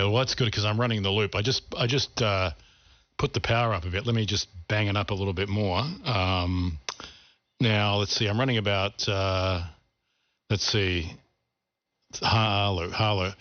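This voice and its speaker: A man in casual amateur radio chat over a two-way radio, speaking in a somewhat halting, hesitant way. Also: fairly low pitch, fairly even intonation, a bit bright. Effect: two-way ham radio transmission. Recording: dull radio audio with faint noise.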